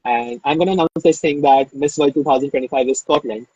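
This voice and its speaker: A man's voice: mid-range voice, quick pace, a little mellow, slightly rough, no room sound, dull audio. A man hosting a live online interview, enthusiastic and full of fillers, who keeps calling things amazing. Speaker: interview host.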